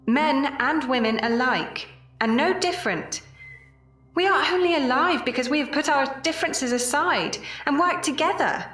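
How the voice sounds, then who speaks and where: A woman's voice: high voice, moderate pace, fairly bright tone, faint music behind. A woman playing a firm, principled survivor who holds a group together with commanding, earnest, formal speech in an audio drama. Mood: stern, rallying. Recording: roomy, distant microphone.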